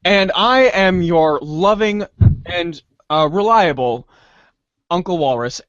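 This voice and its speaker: Male narrator for a comic reader's theater, highish voice, mock-grand delivery, dry and close sound. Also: slow pace, fairly bright tone, dry recording, lo-fi sound. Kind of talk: dramatic narration of a comic adventure.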